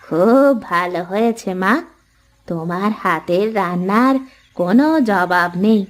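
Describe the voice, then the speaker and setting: A woman acting a slow-speaking elderly cartoon mother-in-law. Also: lowish voice, close to an everyday voice. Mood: diplomatic, reassuring. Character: kindly, diplomatic elderly village mother-in-law. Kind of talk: cartoon voice acting in Bengali family story.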